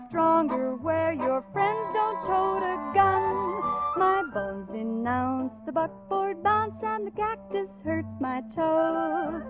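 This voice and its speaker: Female singer, 1940s novelty swing, high and unhurried, on a dull old recording with prominent music. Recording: muffled vintage recording with loud band. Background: musical accompaniment.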